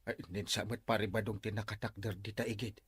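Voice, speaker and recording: A man with an Ilocano accent acting an excitable, blustering village official in a comedy drama. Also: lowish voice, brisk, husky, no echo. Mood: grumbling. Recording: music behind the dialogue.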